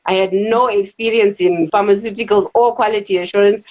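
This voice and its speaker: A Zimbabwean woman in her thirties, a club DJ chatting casually as an interview guest, animated and quick to laugh. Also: medium pitch.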